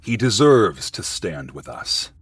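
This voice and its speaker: A man's voice: fairly low pitch, big pitch swings, slow pace, husky, dry recording, heavily acted. A man voicing a sinister, fanatical warlock cult leader who preaches in a grandiose, ritual way for a fantasy audio story. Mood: solemn, commanding.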